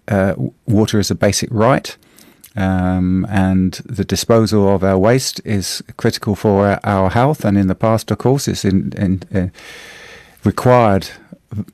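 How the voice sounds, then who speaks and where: A middle-aged man with a New Zealand accent, a slightly hoarse radio host chatting through the day's newspaper, halting with many fillers and restarts. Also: fairly low pitch, darkish tone, no room sound, studio quality. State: a bit hoarse. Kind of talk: casual radio chat reviewing the newspaper.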